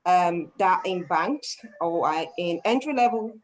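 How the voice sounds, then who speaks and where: A woman's voice: lowish voice, animated, measured pace, rough recording. A woman with a Nigerian accent, talking through her small business in a casual group discussion, often restarting her sentences. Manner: thoughtful, restarts often, laughs easily.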